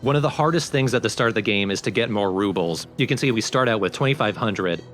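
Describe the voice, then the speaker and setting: A man's voice: lowish voice, fast, a bit bright, soft background music. A man hosting a let's play with casual, explanatory game commentary.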